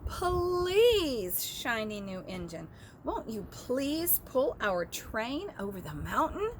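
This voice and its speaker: A woman's voice: on the high side, sing-song, slow pace, a little hiss or hum, voice pushed up. A woman voicing little toys and dolls in a children's storybook, pleading earnestly.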